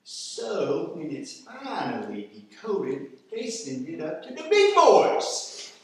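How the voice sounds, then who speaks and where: A man's voice: a little high, wildly swooping pitch, slow pace, full character voice. Man acting a grumpy agent in an echoey room. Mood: exasperated explaining. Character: grumpy male FBI agent, folksy and long-suffering. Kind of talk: comic radio play acting. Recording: echoey room, distant mic, faint noise.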